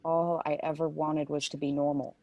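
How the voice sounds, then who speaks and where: A woman's voice: sits low, level tone, normal speed, darkish tone, dry recording, muffled recording. A woman reading the part of a troubled, bitter pregnant woman in a dramatic script table read. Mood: bitter, resolute.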